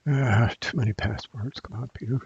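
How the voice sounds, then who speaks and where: Husky guy talking through a software demo, halting and unscripted, kinda dull dry audio. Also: medium pitch, steady tone. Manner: halting, thinking aloud, throat-clearing. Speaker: tutorial presenter, technical support. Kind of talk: unscripted software screencast walkthrough.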